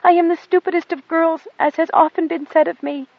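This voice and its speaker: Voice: very high-pitched, steady tone, brisk, creaky, dry recording, muffled recording, pitched above the performer's own voice. Narrator doing a frightened little girl. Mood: fearful pleading. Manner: fearful, pleading. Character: timid, self-abasing young girl.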